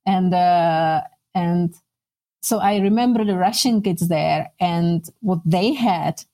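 A woman's voice: fairly low pitch, slow, mellow. A middle-aged woman from the Czech Republic with a Czech accent, sharing personal recollections conversationally as an interview guest.

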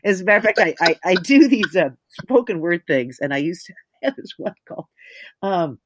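A woman with a deep voice, an audiobook narrator talking candidly in an interview, thoughtful and self-mocking. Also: big pitch swings, fairly quick, slightly rough, no echo.

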